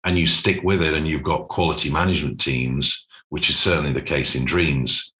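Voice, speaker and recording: Very deep-voiced man in a roomy space. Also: fairly even intonation, moderate pace, bright, forceful tone. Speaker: private equity executive being interviewed. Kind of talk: business interview, explanatory. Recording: roomy, echoing sound.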